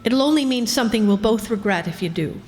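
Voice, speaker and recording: A woman's voice: a little high, animated, quick pace, darkish tone, slight background noise, lightly acted. A woman playing a headstrong, anxious young society woman in an old-time radio crime drama. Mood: pleading. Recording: echoey hall.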